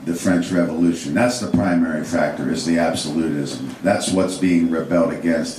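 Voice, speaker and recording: A man's voice: low-pitched, darkish tone, a little hiss or hum. A man giving an unscripted history lecture, rambling with fillers and restarts. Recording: echoey room, distant mic.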